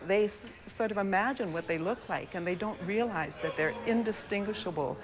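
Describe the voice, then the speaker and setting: A woman speaks as a medical expert in a live news interview, explaining earnestly and haltingly, with pauses to find words. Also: medium pitch. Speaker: physician, clinical director, interview guest.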